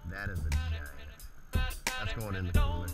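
Low-voiced man, distant outdoor recording. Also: huge pitch swings, moderate pace, over loud music. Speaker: kayak fisherman vlogger. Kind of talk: casual running commentary while fishing. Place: outdoors on open water in a kayak. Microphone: action camera worn on the body.

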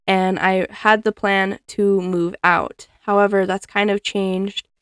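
A woman's voice: medium pitch, flat delivery, slow pace, clear, resonant tone, no echo, clean studio sound. Chatty young woman folding laundry at home. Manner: chatty, laughs often, frequent ums. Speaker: cleaning vlogger. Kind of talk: casual chat while folding laundry. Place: living room at home, indoors. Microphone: fixed camera across the room.

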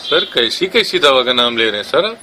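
A man's voice: mid-range voice, very animated, a little fast, background noise. A man in a casual phone conversation, speaking as a shop worker in a colloquial, slangy, argumentative manner. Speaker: pharmacy worker taking a phone order.

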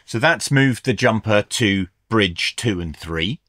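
A middle-aged man narrates a hands-on product review in a fairly low voice. He explains things step by step in a methodical, instructional way. The sound is dry, with very little room to it. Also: lively intonation, slow, fairly bright tone, no room sound. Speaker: radio reviewer, presenter.

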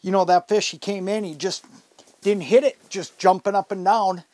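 Higher-voiced middle-aged man, folksy fishing host. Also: steady tone, moderate pace, a little mellow, no echo. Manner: folksy, enthusiastic, conversational. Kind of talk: casual instructional fishing commentary. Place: inside a fabric ice-fishing shelter.

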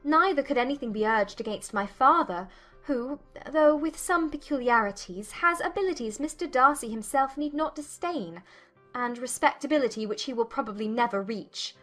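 A woman voicing a spirited, proud young gentlewoman, formal and pointed, in a dramatized audiobook. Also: high-pitched, lively intonation, normal speed, faint music behind, pitched above the performer's own voice. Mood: indignant, proud. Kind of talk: dramatized audiobook character dialogue.

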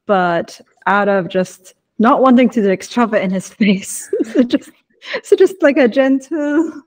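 A woman's voice: a little high, expressive, a little slow. A woman improvising casually as a player in a tabletop roleplaying game, with polite, tentative phrasing, on a video call.

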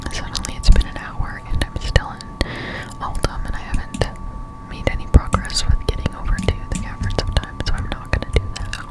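A young woman whispering breathily up close to the mic, giving rambling ASMR gameplay commentary as she muses to herself. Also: poor audio. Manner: rambling, musing aloud to herself. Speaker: gameplay streamer. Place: indoors at a home computer. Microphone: close-up desktop condenser mic.

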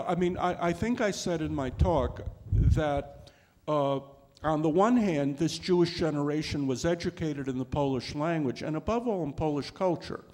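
A man in his sixties answers questions on a lecture hall stage, speaking into a handheld mic. His voice sits a little high for a man. He talks thoughtfully, circling back with many restarts and "uh"s. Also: darkish tone. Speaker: panelist. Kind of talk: scholarly panel talk.

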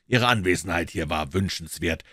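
A calm, shrewd detective from an audiobook, speaking low and measured, never rushing, as a man reads him. The voice sits deep and is recorded close and dry. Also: dry recording. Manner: measured, quiet, matter-of-fact. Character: calm, shrewd male detective, middle-aged.

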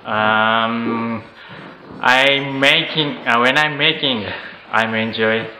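A man from Japan, a native Japanese speaker, giving short, halting answers in simple English to an interviewer in an indoor school room. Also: mid-range voice, steady tone, sharp tone. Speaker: furniture student. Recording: echoey room, faint noise.

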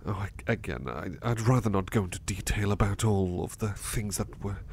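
Casting: male actor as gentle sleepy servant for ASMR roleplay, low creaky voice, anxious, halting, devoted. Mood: troubled, weary. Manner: hesitant, stammering, apologetic. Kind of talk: soft-spoken ASMR roleplay acting. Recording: faint background noise.

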